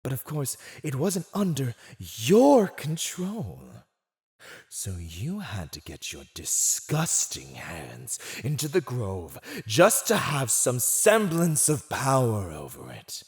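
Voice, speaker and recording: A man playing a silver-tongued villain in an ASMR roleplay, condescending, theatrical and seductively persuasive as he teases a captive. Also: mid-range voice. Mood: scornful, disdainful. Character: silver-tongued, teasing male villain gloating over a captive. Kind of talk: scripted ASMR roleplay acting.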